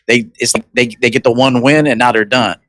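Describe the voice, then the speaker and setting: A man's voice: mid-range voice, steady tone, a little fast, a bit bright, dry recording, studio quality. A man who works as a dating coach, chatting as a podcast guest in a motivational way, repeating points for emphasis. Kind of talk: casual panel chat.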